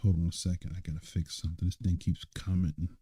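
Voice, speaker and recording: A man's voice: low-pitched, little pitch movement, quick pace, warm tone, slightly rough. A man leading a live-streamed Bible study, talking conversationally and checking in with listeners after nearly every point. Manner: conversational, asks for amens, frequent "right?" and "okay"; muttering while fiddling with stream settings. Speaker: Bible teacher.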